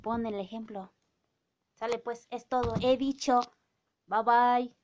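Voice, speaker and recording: A woman's voice: on the high side, steady tone, a little mellow, muffled recording, slight background noise. A woman in her thirties, a native Mazatec speaker with a Mazatec-accented Spanish, scolding in a casual rant to a phone camera in a small room. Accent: Mazatec-accented Mexican Spanish. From Oaxaca, Mexico. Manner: indignant, scolding, drawn-out speech with many fillers. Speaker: vlogger. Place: small indoor room with bookshelves. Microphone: phone camera at arm's length.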